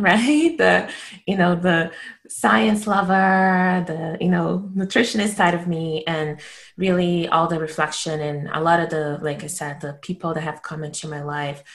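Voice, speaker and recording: Woman, thirties, low voice, from Brazil, native Portuguese speaker, open, reflective, casual storytelling. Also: fairly bright tone. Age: 30s. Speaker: interview guest, nutritionist.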